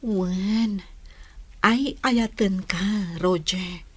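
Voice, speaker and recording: A patient young wife in an ilocano radio drama, gentle, medium pitch. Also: female voice, extremely slow, husky, dry recording. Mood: tender, dutiful.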